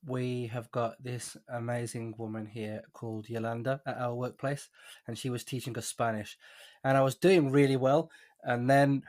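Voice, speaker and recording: A man hosts a podcast interview in a casual, easy manner. His voice is of ordinary middle pitch, and he keeps things moving with short friendly replies and open questions. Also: flat delivery, a little mellow. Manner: friendly, quick affirmations and laughs. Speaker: podcast host.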